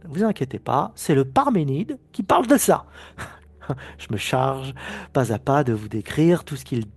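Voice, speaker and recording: Male spiritual lecturer, slightly husky from a cold; lively, swooping delivery, emphatic, often restarts his phrases. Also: mid-range voice. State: has a head cold. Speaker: spiritual teacher. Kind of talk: recorded video lecture.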